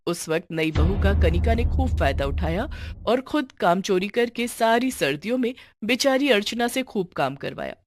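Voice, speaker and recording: Woman narrating a story, mid-pitched voice. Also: very animated, moderate pace, faint music behind.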